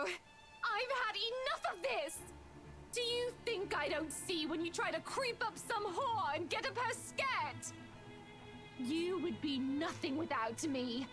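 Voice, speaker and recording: An actress playing a proud, wounded young wife in a visual novel, haughty and indignant. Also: female voice, very high voice. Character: proud, wounded, wealthy young wife standing her ground. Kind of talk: dramatic visual novel voice acting. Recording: game music behind the voice. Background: game music.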